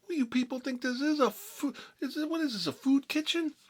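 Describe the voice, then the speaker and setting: A man playing an exasperated mob boss in a comedy monologue, rambling in tough-guy slang and repeating himself, with a husky voice. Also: extremely high pitch, brisk. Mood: outraged ranting. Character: exasperated mob boss telling family stories. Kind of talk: rambling comedic storytelling in character, between whispers and outbursts.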